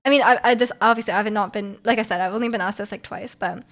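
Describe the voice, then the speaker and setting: A woman from Canada streaming, chatting casually with viewers and reading their messages aloud, full of "like" fillers and quick laughs. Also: mid-range voice, fairly bright tone. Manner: laughs often while talking.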